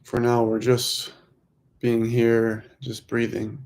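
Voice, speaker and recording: A man leading a guided meditation in a soothing, unhurried manner, speaking in short phrases with long pauses. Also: mid-range voice, completely flat delivery, gravelly, darkish tone. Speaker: meditation guide, host of a weekly group.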